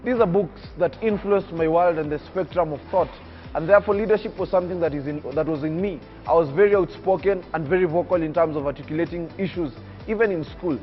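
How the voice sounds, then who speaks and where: Casting: 19-year-old man from Kenya, high voice, preacher-like cadence, dull TV sound with faint music. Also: quick pace. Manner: oratorical, emphatic, preacher-like cadence. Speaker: young public speaker interviewed on TV. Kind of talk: earnest personal storytelling.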